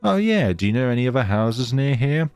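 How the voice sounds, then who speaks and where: Man playing an awkward, animated young traveler. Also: mid-range voice, a little fast, smooth, no echo, soft background music, pitched above the performer's own voice. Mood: earnest. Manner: self-deprecating, chatty. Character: earnest, awkward, teasing young traveler, game protagonist.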